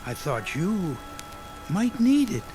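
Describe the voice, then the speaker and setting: Gentle, high-voiced old man. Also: big pitch swings, slow, exaggerated voice. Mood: mild, hopeful. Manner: mild, courteous, hesitant. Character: polite, gentle old man selling his car. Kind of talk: audio drama acting. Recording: loud music behind the voice.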